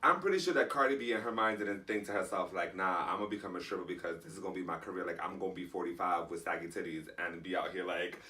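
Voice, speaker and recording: A man co-hosting a casual podcast chat, talking in animated bursts with many restarts. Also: low voice, fast, few pauses. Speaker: podcast co-host. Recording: distant mic, faint background noise.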